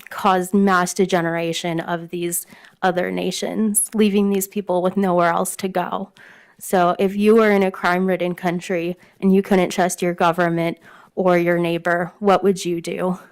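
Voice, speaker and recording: A woman's voice: on the low side, smooth. A woman with a mousy voice, giving earnest public comment at a city council meeting from prepared remarks, with frequent ums.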